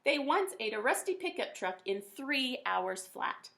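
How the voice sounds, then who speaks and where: A woman with a fairly high voice reads a children's storybook aloud. She tells it in a folksy, expressive way, leaning on the colorful words. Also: unhurried, gravelly. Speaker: teacher reading a picture book aloud.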